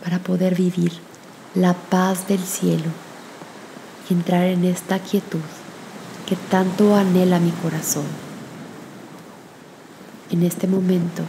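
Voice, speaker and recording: A woman leading a guided meditation and prayer in a soft, gentle, soothing manner with long pauses between phrases. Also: lowish voice, level tone, gravelly, slight background noise, poor audio.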